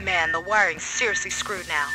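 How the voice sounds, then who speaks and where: A woman's voice: lowish voice, moderate pace, over loud music, close to an everyday voice, low-quality recording. A woman playing a tough marine squad leader in a shooter game, clipped, blunt and commanding. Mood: urgent. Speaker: squad radio guide. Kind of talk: military shooter game acting.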